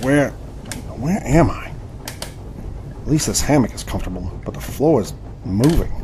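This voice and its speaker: A man's voice: mid-range voice, animated, moderate pace, warm tone, a little hiss or hum, natural voice. An actor playing a proud, indignant Southern crossbowman of the 1870s in an audio drama, with formal, theatrical speech. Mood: groggy, bewildered. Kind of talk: audio drama with first-person narration.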